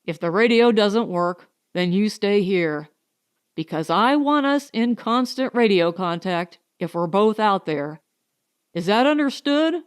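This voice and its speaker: A woman plays a protective husband and spaceship captain in a science fiction audiobook. The delivery is slow and very clean, with blunt, instructive phrasing. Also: medium pitch, lively intonation, clean tone, lightly acted. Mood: firm, instructing.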